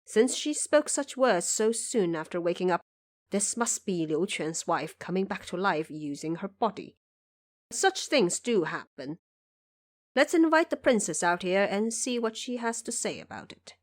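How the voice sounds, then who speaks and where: A woman's voice: medium pitch, expressive, a little slow, close to an everyday voice. A woman playing a sober male court minister who advises in a formal, matter-of-fact way in an audio drama. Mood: reasoned. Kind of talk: audio drama character acting.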